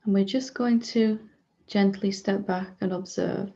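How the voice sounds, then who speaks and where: A woman guides a meditation in a soft-edged, mellow voice with a noticeable creak. Her delivery is very even and gentle, broken into short phrases with generous pauses. The recording sounds slightly dull. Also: medium pitch. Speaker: spiritual teacher leading a guided meditation.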